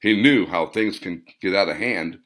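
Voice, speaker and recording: A man reading his own story aloud in a plain, unhurried narrating manner. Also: low voice, a bit bright.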